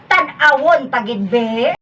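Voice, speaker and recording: A woman playing a bossy, scheming middle-aged mother in a comedy radio drama. Her high voice swoops up and down with theatrical exclamations, caught from a distance in a noisy room. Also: expressive, slow pace, pushed and bright, full character voice. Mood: scolding, threatening.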